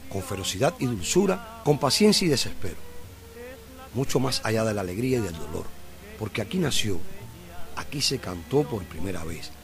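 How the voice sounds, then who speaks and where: A man's voice: fairly low pitch, sing-song, moderate pace, soft background music. A man hosting a radio show, reading a poetic text in an earnest, declamatory way. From Cuba. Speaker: radio host.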